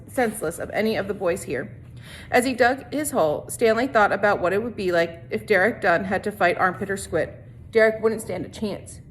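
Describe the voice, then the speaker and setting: A woman with a mid-range voice reads a story aloud. She is informal and sometimes rereads a phrase. Faint noise sits behind her voice. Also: medium pitch. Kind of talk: read-aloud story narration with brief casual asides.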